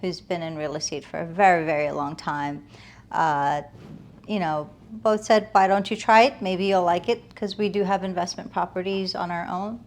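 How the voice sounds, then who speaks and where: A woman's voice: on the low side, fairly even intonation, moderate pace. A woman giving reflective, earnest interview answers in a conversational way, often building emphasis with short listed phrases. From United States, moved from Afghanistan as a toddler. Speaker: interview guest, realtor.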